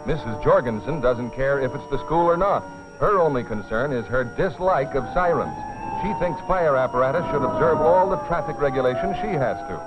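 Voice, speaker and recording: A man's voice: mid-range voice, animated, moderate pace. A man narrating a safety training film as a dramatic scripted story, in a grave, urgent, theatrical manner. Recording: dull vintage film soundtrack with music.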